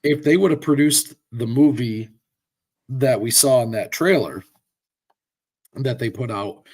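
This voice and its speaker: A man with a hoarse voice from a cold, chatting casually as a podcast co-host and giving rambling, opinionated takes with lots of fillers. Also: medium pitch, a little slow, a little mellow. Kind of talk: casual chat.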